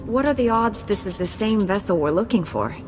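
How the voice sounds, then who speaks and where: A woman playing a calm, principled young heroine, a Jedi Knight in a video game, speaking steadily, earnestly and diplomatically. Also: mid-range voice, brisk, slight background noise, lightly acted. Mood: concerned. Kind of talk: scripted video game acting. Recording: long reverb, game music behind.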